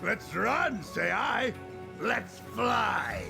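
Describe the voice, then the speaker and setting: A hot-tempered game warrior who serves his god with fierce devotion. His voice is rough and gravelly, with big swings in pitch and a declamatory, blustering manner. Prominent game music plays underneath. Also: very animated, drawn-out words, full character voice. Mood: fervent, excited. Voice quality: deep, gravelly. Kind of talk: fantasy video game voice acting. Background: loud game music.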